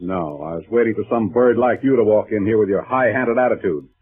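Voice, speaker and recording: A man's voice: lowish voice, fairly quick, lightly acted. An actor playing a hostile, sarcastic, bossy businessman in a 1950s radio drama, with biting impatience. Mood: angry, scornful. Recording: muffled vintage radio recording.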